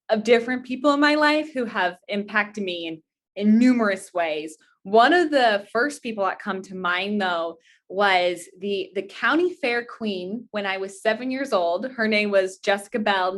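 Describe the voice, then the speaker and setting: A woman's voice: medium pitch, normal speed, fairly bright tone. A woman in her twenties talking earnestly and at length as an interview guest, in a conversational, anecdotal style. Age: 20s. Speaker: interview guest, pageant titleholder.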